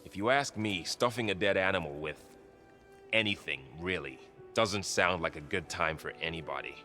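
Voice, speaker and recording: Game companion: easygoing young male soldier, deep voice, gentle and casual. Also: moderate pace, soft background music. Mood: wry, amused. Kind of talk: video game cutscene acting.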